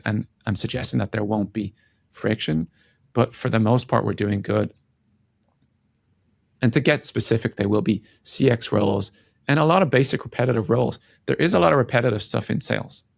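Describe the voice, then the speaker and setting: Guy being interviewed, kinda low voice w vocal fry, reflective, dry close sound. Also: normal speed, dry recording. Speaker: interview guest, tech company founder and CEO. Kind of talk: conversational interview answers.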